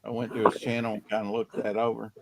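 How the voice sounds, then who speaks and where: A male podcast host with a slightly raspy voice, chatting casually in a rambling way, full of fillers and trailing off. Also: on the low side, completely flat delivery, fast, darkish tone, slight background noise, low-quality recording. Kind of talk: casual chat.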